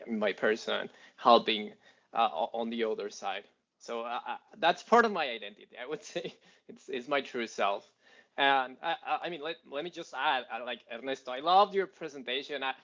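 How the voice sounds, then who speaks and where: A man's voice: mid-range voice, a bit bright. Mexican guy on a video call panel, lots of uhs and restarts, muffled audio. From Mexico City. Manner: rambling, many fillers and restarts, laughs often. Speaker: panelist, software engineer. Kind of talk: casual panel talk.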